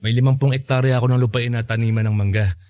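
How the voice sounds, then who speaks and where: A man's voice: medium pitch, steady tone, fast, dark tone, natural voice. A man acting an earnest, humble mango farmer in a Filipino radio drama, speaking in a sincere, conversational way.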